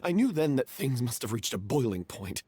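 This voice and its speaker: An actor playing a young male stage performer confessing a guilty secret, hesitant and then anguished, with a husky voice. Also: medium pitch, very animated, normal speed, a little mellow, dry recording. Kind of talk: game voice acting.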